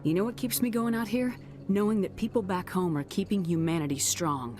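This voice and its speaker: A woman's voice: medium pitch, brisk, warm tone, faint music behind, natural voice. An actress playing a firm, principled female military commander in a game, direct and controlled. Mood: patient, firm.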